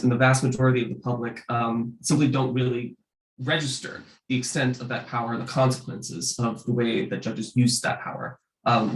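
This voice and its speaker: A man with a cold, presenting slides for an advocacy group, sniffly and hesitant with many ums. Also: mid-range voice. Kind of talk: panel presentation over slides. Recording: echoey room sound.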